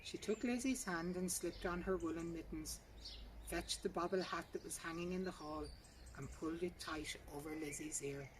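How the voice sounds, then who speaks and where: A woman with a fairly low voice reads a literary short story in measured, careful phrases. She sounds some way from the microphone, and birdsong and outdoor noise are clearly heard behind her. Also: far from the mic. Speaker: reader.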